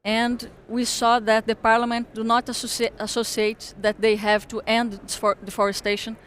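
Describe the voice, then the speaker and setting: A Brazilian woman, a native Portuguese speaker, giving a partly read speech in English with halting delivery, repeating and correcting words. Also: fairly high pitch, little pitch movement, fairly bright tone, a little hiss or hum. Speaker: panel speaker, political scientist.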